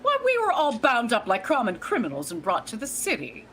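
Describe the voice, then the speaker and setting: A woman's voice: on the high side, huge pitch swings, brisk, fairly bright tone. A woman playing a haughty, wildly swooping society lady. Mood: indignant. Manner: affronted, theatrical. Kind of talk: vintage radio play acting.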